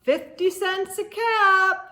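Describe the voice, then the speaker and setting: A woman's voice: very high voice, takes it slowly, bright, forceful tone, pitched above the performer's own voice, heavily acted. A woman voicing a street peddler, calling out and scolding, in a children's storybook read-aloud. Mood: loud hawking call. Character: cap peddler calling wares, later scolding monkeys.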